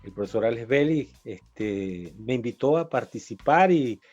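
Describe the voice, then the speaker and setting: A man's voice: medium pitch, expressive, a little slow, darkish tone, muffled recording, soft background music. A man who teaches at a university, answering in a hesitant way with frequent fillers. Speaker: university lecturer guest. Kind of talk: conversational interview answer.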